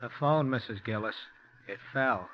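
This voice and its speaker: An actor playing a disturbed, paranoid young handyman who turns quietly menacing in a 1940s radio drama. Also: mid-range voice, moderate pace, natural voice. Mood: coldly menacing. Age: young adult. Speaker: unstable handyman. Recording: muffled 1940s radio broadcast.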